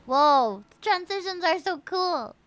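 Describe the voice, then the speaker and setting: High-voiced, gushing woman streamer. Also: measured pace. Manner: gushing, expressive. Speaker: game streamer. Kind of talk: livestream reactions to a game.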